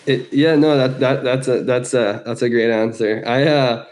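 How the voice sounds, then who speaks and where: A man's voice: mid-range voice, fairly even intonation, normal speed, a little mellow. A man hosting a podcast interview, friendly and encouraging, often repeating words as he starts a sentence. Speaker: podcast host. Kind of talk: casual interview.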